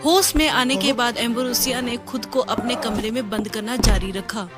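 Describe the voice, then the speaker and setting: A woman's voice: fairly high pitch, steady tone, moderate pace, over music. A woman reading scripted fairy-tale narration as the storyteller.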